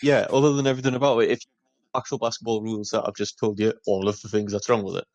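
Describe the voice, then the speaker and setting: Young male, rapid-fire argumentative banter, lowish pitch. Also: flat delivery, a little mellow, no room sound, highs cut off. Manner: argumentative, piling up points, restarts. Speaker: podcast co-host. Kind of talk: casual podcast banter.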